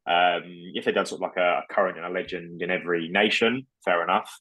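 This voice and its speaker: A man with a very low voice co-hosts a podcast. He talks in a relaxed, hesitant way, with lots of ums and you-knows. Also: quick pace, muffled recording. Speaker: podcast co-host. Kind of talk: casual chat about trading cards.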